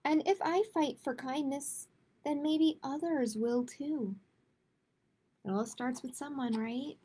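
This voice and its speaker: A woman hosting a live picture-book storytime for children, speaking to viewers in simple, deliberate phrases. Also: high voice, mellow, slight background noise.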